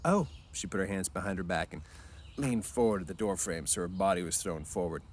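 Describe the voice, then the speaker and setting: Male amateur story reader, on the low side, informal read-aloud delivery with faint noise behind. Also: a little fast.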